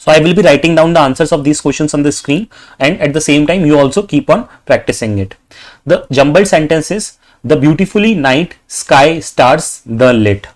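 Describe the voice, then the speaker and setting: A young man with an Indian English accent, tutoring for an exam. He dictates practice sentences slowly and fills his talk with "okay". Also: a little high, lively intonation. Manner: repeats "okay" often, slow deliberate dictation of sentences.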